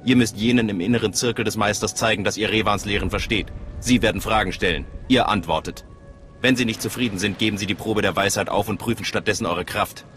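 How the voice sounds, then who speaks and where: An actor playing a stern, sceptical gatekeeper of a cult in a video game, measured and authoritative. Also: male voice, lowish voice, fairly bright tone, a little hiss or hum, lightly acted. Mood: stern, instructing. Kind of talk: video game voice acting in German. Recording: faint outdoor ambience behind.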